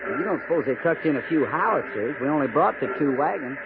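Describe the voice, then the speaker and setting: Dutiful old West cavalry sergeant: rustic, wry, lighter pitch, hissy narrow vintage radio. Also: male voice, expressive, quick pace. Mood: easygoing. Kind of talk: 1950s radio western acting. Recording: noisy, tinny 1950s radio broadcast.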